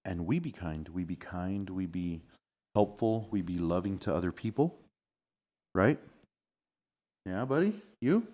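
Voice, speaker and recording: A man's voice: low voice, expressive, unhurried, pauses often, warm tone. A man reading a storybook aloud and chatting with children as their father, with an encouraging, patient manner.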